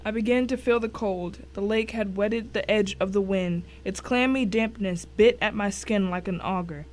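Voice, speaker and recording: A woman's voice: mid-range voice, moderate pace, lightly acted. A woman playing a tense first-person narrator in a dramatic suspense story. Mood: grim, suspenseful.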